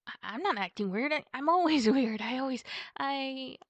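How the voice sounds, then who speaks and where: A woman's voice: high voice, expressive, normal speed, no room sound, highs cut off, pitched above the performer's own voice. A woman playing a nervous, twitchy wolf girlfriend in an ASMR roleplay, stammering and hesitant as she hides something. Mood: nervous, evasive.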